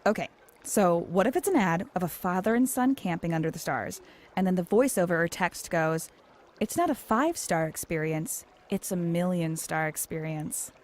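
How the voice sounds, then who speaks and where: A woman's voice: lowish voice, expressive, normal speed, lightly acted. An actress playing a wry, exasperated young American career woman with a sardonic, candid manner in an audio drama. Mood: upbeat, teasing.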